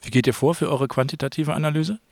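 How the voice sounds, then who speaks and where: Quick-talking male interviewer with vocal fry. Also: fairly high pitch, fairly even intonation, darkish tone, no room sound. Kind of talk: casual interview questions.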